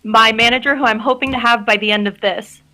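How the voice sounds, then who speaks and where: A woman's voice: a little high, fairly even intonation, fast, bright, forceful tone. A woman improvising casual comedy banter as an audition guest, with a mock self-important manner.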